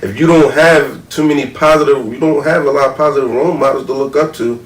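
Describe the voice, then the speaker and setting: A man's voice: a little high, steady tone, quick pace. An American man in his thirties chatting casually and opinionatedly in slangy, profane speech, repeating his verbal tags. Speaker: podcast panelist. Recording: roomy, distant mic, faint noise.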